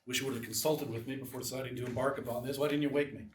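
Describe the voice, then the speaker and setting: An actor in a live script reading playing an irate, scheming, self-important official who is indignant and demanding. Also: male voice, medium pitch, steady tone, rapid-fire, natural voice. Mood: indignant, exasperated. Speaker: actor in a live screenplay table read. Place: small live theatre stage. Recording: distant, echoey live theatre recording with noise.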